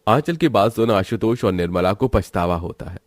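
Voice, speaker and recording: Casting: male storyteller for scripted moral tales, fairly low pitch, close dry sound. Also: animated, moderate pace, dry recording, soft background music. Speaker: narrator.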